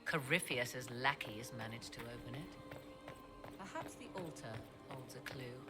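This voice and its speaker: A woman's voice: very deep voice, lively intonation, measured pace, loud music behind the voice. A woman playing a proud, learned sorceress in a fantasy video game, speaking in archaic, formal, theatrical diction. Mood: measured, grave.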